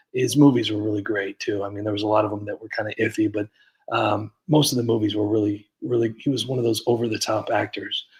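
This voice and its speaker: A man's voice: mid-range voice, low-quality recording. A man hosting a comedy livestream, chatting casually and rambling with lots of fillers and restarts. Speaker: comedy channel host.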